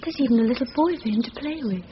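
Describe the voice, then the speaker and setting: A woman's voice: on the high side, expressive, racing, natural voice. An actress playing an anxious, grieving British mother in a radio thriller, hesitant and fretful. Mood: wistful. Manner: hesitant, fretful, repeats herself. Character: anxious, grieving young mother and wife, easily frightened. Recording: muffled radio drama recording, faint noise.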